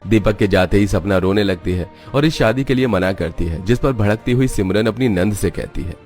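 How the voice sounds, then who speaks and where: A man's voice: lowish voice, moderate pace, darkish tone. A man reading scripted narration for a cartoon story as its storyteller. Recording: music behind the voice.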